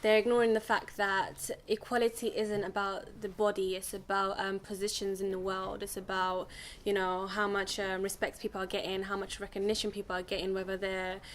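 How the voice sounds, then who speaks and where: A young woman talks steadily in a flat, even voice, pausing often on ums as she thinks. A faint background hum sits under the recording. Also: mid-range voice. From England. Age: young adult. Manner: even, measured delivery with frequent ums. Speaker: guest. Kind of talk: casual studio interview.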